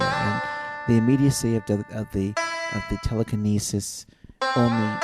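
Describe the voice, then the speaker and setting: A man telling a dreamlike spoken-word adventure tale in halting, musing phrases with dramatic pauses. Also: lowish voice. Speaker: storyteller, narrator. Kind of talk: loose, dreamlike spoken-word storytelling in an old-time radio adventure manner. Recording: voice over easy-listening music bed. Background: instrumental easy-listening music with guitar.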